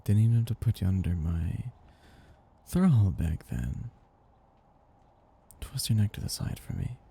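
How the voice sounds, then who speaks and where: A man voicing a seductive, possessive vampire master in an ASMR roleplay, breathy and gravelly, speaking in a slow, gently domineering, teasing way. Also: lowish voice, sing-song, heavily acted. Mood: tender, possessive. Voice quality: breathy, gravelly, often dropping into whispers. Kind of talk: ASMR roleplay, intimate scripted monologue.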